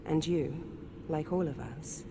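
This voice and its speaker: A woman playing a knowing female spirit guide in a video game, speaking in a solemn, deliberate, portentous way. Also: low-pitched. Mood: solemn, urging. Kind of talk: dramatic video game cutscene monologue. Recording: clear background ambience noise.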